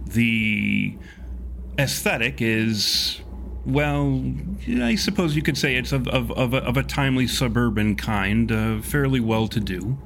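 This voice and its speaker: A man running a tabletop horror game, narrating in a wry, wordy, deliberate way with dramatic pauses. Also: medium pitch, creaky, a bit bright, a little hiss or hum. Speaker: game master.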